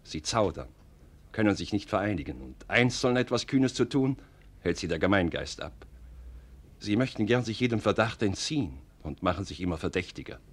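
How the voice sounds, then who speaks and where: Low-voiced, coolly ruthless officer. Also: male voice, very animated, husky. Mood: coolly confident. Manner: deliberate, formal stage diction. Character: loyal, coolly ruthless male officer serving a duke. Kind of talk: classical German radio play acting.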